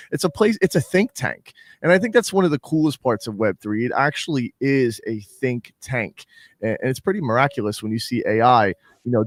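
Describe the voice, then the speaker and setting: A man's voice: medium pitch, no room sound, studio quality. A man co-hosting a casual podcast chat, talking in long rambling, enthusiastic runs.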